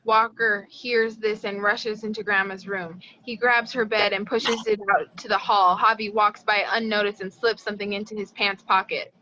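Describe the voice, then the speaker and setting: A woman's voice: mid-range voice, little pitch movement, moderate pace, hardly any pauses, bright, forceful tone, dull audio, a little hiss or hum. A woman reading screenplay action lines as scripted narration. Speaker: stage directions reader.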